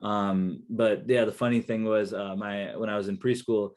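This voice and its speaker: Low-voiced young man, rambling. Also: steady tone, moderate pace, darkish tone. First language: Kannada. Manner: rambling, many fillers and restarts. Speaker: interview guest, researcher and therapist. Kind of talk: casual interview talk.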